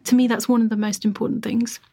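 A woman historian giving measured, emphatic answers in an interview. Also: a little high, flat delivery, vocal fry, mellow. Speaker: historian, guest.

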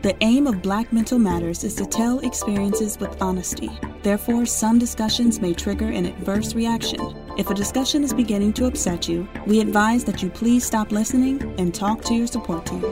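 A woman's voice: medium pitch, brisk, few pauses. Woman reading a formal content warning. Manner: even, formal read. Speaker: disclaimer announcer. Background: music.

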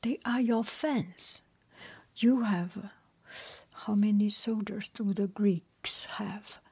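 A woman's voice: mid-range voice, slow, a little mellow, slightly rough, no room sound, heavily acted. A woman whispering the lines of a blunt, scolding Greek warrior in a storybook reading.

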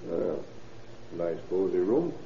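An actor playing a probing, wry and stern American police lieutenant in an old-time radio detective drama, controlled and authoritative. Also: male voice, low voice, very animated, takes it slowly, full character voice. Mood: casual probing. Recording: tinny, noisy vintage radio recording.

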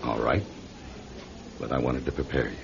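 A man playing a calm doctor in a radio drama, measured, reassuring and firm, with gentle authority. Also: very deep voice, gravelly. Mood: gentle, careful. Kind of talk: classic radio drama acting. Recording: dull, noisy old radio recording.

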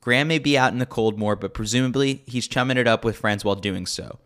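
A man's voice: medium pitch, fast talker, fairly bright tone. A man reading a scripted sports newsletter as a podcast host, with a wry, tongue-in-cheek delivery. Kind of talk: scripted narration, sports newsletter read aloud.

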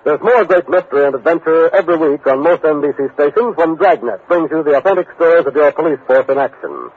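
An American male announcer from 1950s radio. His voice is fairly high and husky, delivered with formal, dramatic emphasis. The sound is a tinny old broadcast recording. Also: animated, fast.

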